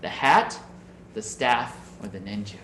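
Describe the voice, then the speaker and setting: A man's voice: lowish voice, expressive, measured pace, a bit bright, echoey room, slight background noise. A man from Minnesota, a Buddhist minister, giving a conversational Dharma talk over a video call and often checking in with listeners. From Minnesota, USA. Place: home room on a video call.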